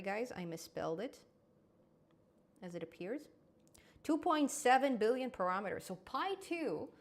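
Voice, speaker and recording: A young adult woman hosting a live coding stream, chatty and quick to laugh, often restarting her words. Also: mid-range voice, gravelly. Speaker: programming channel host.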